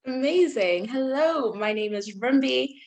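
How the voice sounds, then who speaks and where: A woman's voice: high-pitched, expressive, a little slow. A young woman from Zimbabwe, a junior doctor, chatting openly as a podcast guest. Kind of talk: casual podcast conversation.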